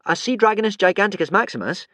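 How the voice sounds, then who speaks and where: A quick-witted young Viking boy pleads and bargains in a raised, strained voice, as a man puts him on. His pitch jumps high and loud, close to the mic with no room sound. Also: expressive, no room sound. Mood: urgent. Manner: high, urgent, shouting.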